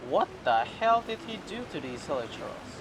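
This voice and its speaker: A man's voice: fairly high pitch, big pitch swings, moderate pace, noisy background. A man streaming a video game, giving casual, slangy commentary in short exclamatory reactions. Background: game music.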